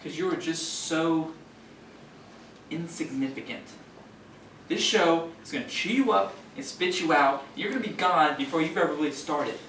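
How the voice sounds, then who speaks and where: A sharp, forceful actor's take on a bullying show veteran, sneering and confrontational. His fairly high male voice carries from far off in an echoey stage recording. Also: moderate pace. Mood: cold menace. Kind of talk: stage play acting. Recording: distant, echoey stage recording, faint noise.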